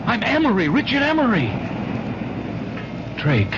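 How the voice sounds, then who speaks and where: A man's voice: very high-pitched, wildly swooping pitch, a little slow, heavily acted. An actor in a radio drama playing an alarmed, panicky man who speaks urgently, stammering and insisting. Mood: panicked. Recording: muffled old radio drama, background noise.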